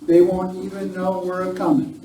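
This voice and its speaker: A man's voice: sits high, completely flat delivery, normal speed, dark tone, close to an everyday voice. A man playing a confident, folksy sheriff in a live amateur Western radio play. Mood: confident, eager. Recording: distant, echoey live recording, faint noise.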